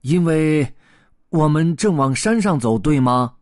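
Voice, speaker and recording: A man's voice: on the high side, expressive, unhurried, darkish tone, dry recording, clean studio sound, voice pushed up. A man voicing a cheerful, playful male patchwork elephant in a children's storybook reading, coaxing and teasing his friends. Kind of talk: storybook character voice.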